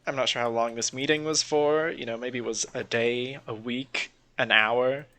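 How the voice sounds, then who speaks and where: Male podcast co-host, young, bright forceful mid-range voice, opinionated and sardonic, casual delivery. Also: medium pitch, steady tone, moderate pace.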